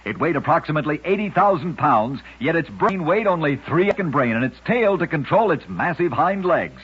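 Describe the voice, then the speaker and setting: A man's voice: fairly high pitch, very animated, brisk, slightly rough. A man reading scripted short stories for a radio show with an emphatic, dramatic storytelling delivery. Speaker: radio narrator of oddity stories. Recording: dull lo-fi old radio recording.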